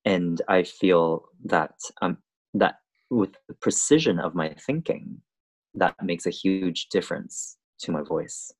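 Male dancer, native Cantonese speaker, interview guest; low husky voice, reflective and halting. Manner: thoughtful, halting with many restarts and fillers. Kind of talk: conversational interview.

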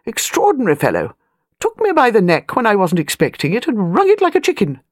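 A woman's voice: medium pitch, huge pitch swings, a little fast, no echo, studio quality, voice pushed up. A woman voicing an imperious, chatty elderly English aristocrat in clipped, emphatic phrases for an audiobook. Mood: blunt, pleased. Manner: clipped, dropped-subject, emphatic speech.

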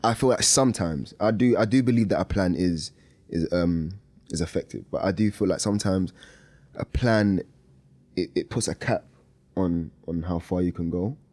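A young man with a low-pitched voice talks casually as a music artist in an interview. His first language is Lingala, and he speaks informally, often tagging phrases with a check that he is understood. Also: normal speed, darkish tone. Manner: informal, repeats tag questions like "you know what I mean". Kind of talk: casual chat.

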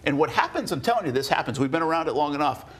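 A man's voice: a little high, expressive, racing, a bit bright. A man with a Rhode Island accent, co-hosting a sports podcast and giving opinionated, story-driven football analysis in casual talk.

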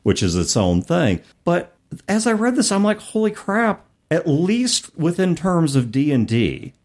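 A man co-hosting a podcast in a casual, explanatory way, animated and expressive as he talks and reads aloud. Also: high-pitched, a little slow. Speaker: podcast co-host. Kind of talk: casual chat about tabletop gaming, reading passages aloud.

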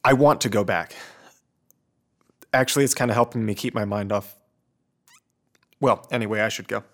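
A man's voice: on the low side, normal speed. A man playing a stressed, sullen teenage prep school boy in a radio drama, evasive and curt in his delivery. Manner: curt, irritable.

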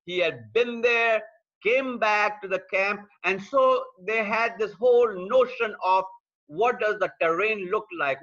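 High bright-voiced preacher on a dull stream. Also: male voice. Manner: repetitive, emphatic preaching cadence; addresses viewers directly. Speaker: pastor. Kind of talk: online sermon. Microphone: streaming call mic.

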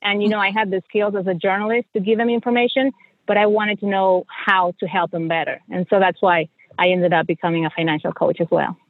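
A woman's voice: medium pitch, fairly even intonation, a little fast, no room sound, phone-quality sound. A middle-aged woman from Mexico with a Mexican accent, a native Spanish speaker, telling her story conversationally as a podcast guest. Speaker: podcast guest, financial coach and journalist.

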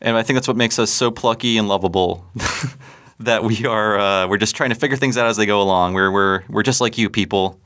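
A man's voice: on the low side, a little fast, a bit bright. A man co-hosting a podcast in casual chat, joking and upbeat, with many fillers and restarts. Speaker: podcast co-host.

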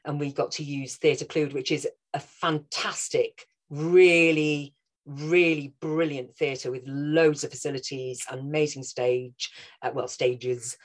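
A woman's voice: low-pitched, steady tone, slow. A woman from North Wales with a Scouse-tinged accent, chatting as a guest actress, enthusiastic and laughing often. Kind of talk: casual interview chat.

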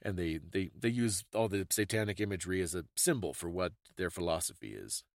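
A man's voice: low voice, animated, fairly quick, dry recording, studio quality. A man co-hosting a podcast, talking in a reflective, explanatory, conversational way. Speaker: podcast co-host.

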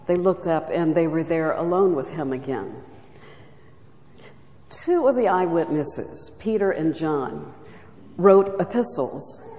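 A woman giving a reflective, soft-spoken teaching talk at a church retreat. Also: on the low side. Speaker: retreat speaker. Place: church hall. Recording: echoey hall recording.

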